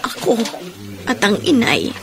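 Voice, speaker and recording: A sad grieving young mom in a radio drama, quiet, resigned, slightly high voice. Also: female voice, huge pitch swings, measured pace, heavily acted. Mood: sorrowful. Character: grieving, guilt-ridden young mother.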